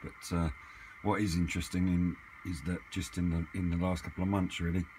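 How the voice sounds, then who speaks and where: A man's voice: deep voice, flat delivery, a little fast, darkish tone. A man chatting casually and off the cuff, hesitant as he thinks aloud in a hobby vlog. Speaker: hobbyist vlogger. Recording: clearly noisy amateur camera recording.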